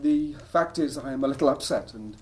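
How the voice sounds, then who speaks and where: An actor playing a troubled, well-bred Victorian husband in a radio drama, with an English accent and a halting, formal, agitated manner. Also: male voice, medium pitch, darkish tone, husky, lightly acted. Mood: flustered, apologetic.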